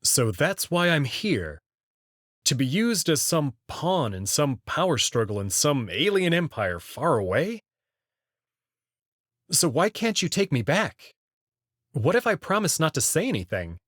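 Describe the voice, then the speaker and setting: Man playing an indignant, expressive abducted human. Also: on the high side, a little slow, dry recording, studio quality, exaggerated voice. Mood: frustrated. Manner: questioning, indignant. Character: frustrated, incredulous abducted young human man. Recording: clear music behind the voice.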